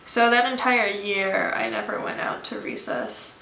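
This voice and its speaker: Young woman, native Mandarin speaker, bright and soft-spoken, halting delivery, echoey room. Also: mid-range voice, very animated, slight background noise. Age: young adult. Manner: soft-spoken, hesitant, many fillers. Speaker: college student. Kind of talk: documentary interview.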